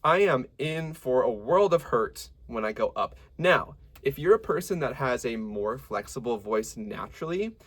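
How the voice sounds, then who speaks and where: A man with a medium-low voice teaching a singing lesson, explaining technique clearly and stopping to sing short examples. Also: normal speed, hardly any pauses. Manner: lively, demonstrative teacher who breaks into sung examples. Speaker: vocal coach.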